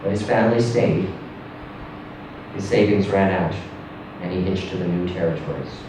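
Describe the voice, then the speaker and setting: A man reading a literary short story aloud in a theatrical, emphatic manner, with deliberate pauses and swells. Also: low-pitched. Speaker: story reader. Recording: distant echoey room with faint noise.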